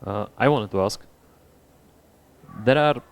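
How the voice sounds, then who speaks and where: A man from the audience asking a careful, slow question. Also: fairly low pitch, a little hiss or hum. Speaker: audience member.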